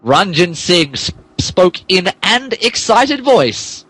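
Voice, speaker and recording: A man's voice: high-pitched, big pitch swings, slow, very noisy. A man hosting a comedy podcast, moving between casual banter and a mock-dramatic reading of fan fiction. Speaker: podcast host and narrator.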